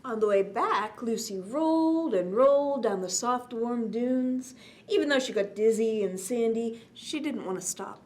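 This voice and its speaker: A woman with a slightly high voice hosts a story time for young children. She reads expressively and speaks to the listeners in a gentle, chatty way. The recording carries noticeable background noise. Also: unhurried. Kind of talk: read-aloud bedtime story with chatty asides. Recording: clearly noisy background.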